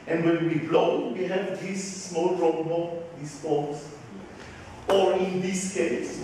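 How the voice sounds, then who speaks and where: A man whose first language is Hebrew, explaining glassmaking to an interviewer in halting English, often stopping to search for words. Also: on the high side, darkish tone, heavy reverb, big-room sound, far from the mic, a little hiss or hum, low-quality recording. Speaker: glass designer. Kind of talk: explaining his work to an interviewer.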